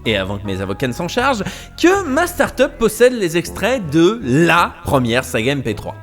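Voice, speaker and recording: A man's voice: on the high side, wildly swooping pitch, normal speed, soft background music. Man playing a pompous, sing-song start-up CEO. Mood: condescending. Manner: pompous, peppers French with English business buzzwords. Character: smug pretentious start-up CEO, smooth-talking male doctor. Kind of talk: comedy audio drama acting.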